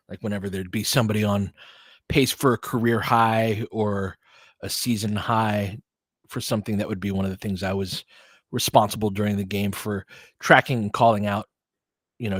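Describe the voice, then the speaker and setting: A man's voice: lowish voice, no echo. A man giving informal live basketball play-by-play and analysis, conversational and openly partisan, like a fan of the home team.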